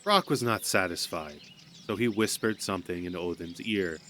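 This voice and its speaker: A man's voice: sits low, normal speed, bright, forceful tone. A man narrating a scripted story in a dramatic storytelling style. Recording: amateur recording with background noise.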